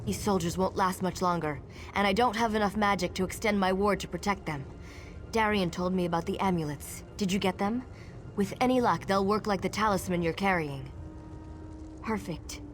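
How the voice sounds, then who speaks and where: A woman's voice: mid-range voice, noisy background, natural voice. An actress playing an earnest, purposeful female battle mage in a scripted fantasy game, with music under her. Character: resolute female battle mage rallying allies. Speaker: game character.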